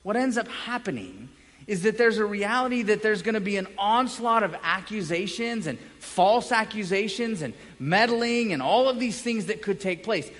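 High-voiced man preaching in an echoey hall. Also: animated, moderate pace, fairly bright tone. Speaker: pastor preaching. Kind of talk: evangelical sermon. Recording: echoey hall with long reverb.

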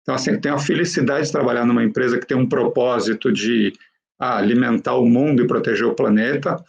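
A man with a Brazilian accent answers in an interview in a conversational way. His mid-pitched voice has a noticeable creak, and he tends to punctuate his points with little tag questions. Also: fast talker. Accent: Brazilian Portuguese. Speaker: interview guest, company professional.

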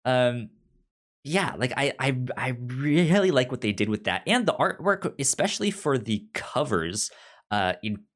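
Co-host for casual book chat: unhurried, halting and stammering, pitch in the middle range. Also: sing-song. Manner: hesitant, stammering delivery. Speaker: podcast co-host.

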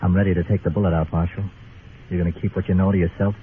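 A man playing a bitter frontier doctor gone crooked, low in pitch. His delivery is clipped and sardonic, in old radio drama fashion. The sound is narrow and slightly noisy. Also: little pitch movement, very fast, close to an everyday voice. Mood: bitter, guarded. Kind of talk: 1950s radio drama acting.

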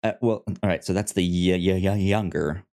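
A man with a low voice talks as a podcast host in a casual review style. His delivery is halting, and he often stammers over the first sounds of words before moving on. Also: fairly even intonation, a little mellow. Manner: halting, stammering with repeated word starts.

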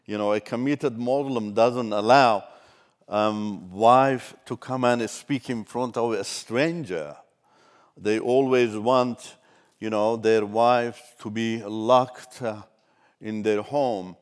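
An older man from Iran whose first language is Turkish, giving a measured, halting personal testimony as a ministry speaker. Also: fairly low pitch, steady tone, smooth.

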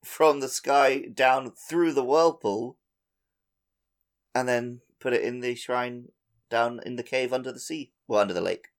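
Gravelly man in casual podcast chat. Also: mid-range voice, a little slow. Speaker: podcast co-host.

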